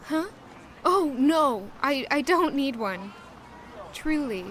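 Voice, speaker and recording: A woman playing a shy, self-doubting young woman who was once an orphan, in an audio drama. She also narrates in the first person and talks in a soft, modest, hesitant way. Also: high voice, expressive, slow pace, slight background noise, full character voice, rough recording. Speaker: narrator and lead character.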